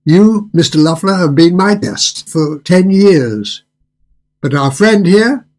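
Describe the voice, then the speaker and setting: An older man playing a suave, controlling restaurant owner in a radio drama, with formal, theatrical politeness and a husky voice. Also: high voice, expressive, takes it slowly, darkish tone. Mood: smooth, courtly.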